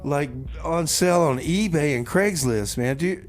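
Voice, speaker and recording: A man's voice: a little high, moderate pace. A man hosting a community radio show, with casual banter and song announcements in a DJ's style.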